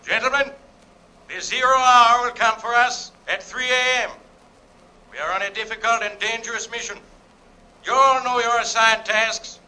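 A stern wartime naval commander for a radio drama. His voice sits high for a man and is projected with solemn authority. He sounds like an officer giving orders to his men. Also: moderate pace, sharp tone, slight background noise, full character voice, poor audio. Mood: grave, resolute. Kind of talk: old-time radio war drama acting.

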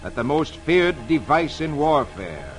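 A jolly, friendly storyteller reads to children in the theatrical manner of old-time radio. The voice is on the high side and very animated, stressing the drama, with music clearly behind it on an old broadcast. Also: male voice, unhurried. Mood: dramatic, suspenseful. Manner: theatrical, emphatic, addresses children directly. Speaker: host reading newspaper comics to children.

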